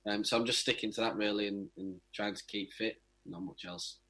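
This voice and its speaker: A young man, a footballer, giving casual interview answers in a halting way as he searches for words. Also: lowish voice, fairly even intonation, sharp tone. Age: young adult.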